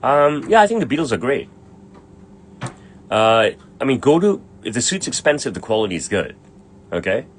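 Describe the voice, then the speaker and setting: A man's voice: medium pitch, very animated, normal speed, long pauses, a little hiss or hum. A middle-aged man, a tailor, answering viewer questions in a blunt, brash way and swearing freely. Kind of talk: casual livestream Q&A.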